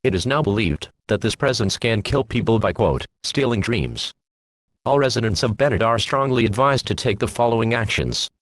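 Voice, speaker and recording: A man reading scripted emergency alerts and weather bulletins as a radio announcer, in a flat, official, impersonal manner. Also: lowish voice, moderate pace, no room sound.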